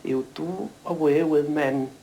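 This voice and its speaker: A man's voice: on the high side, slow pace, gravelly, warm tone, slight background noise, rough recording. A Welsh man, a former quarryman whose first language is Welsh, speaking earnestly in an interview. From Wales. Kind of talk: interview answers.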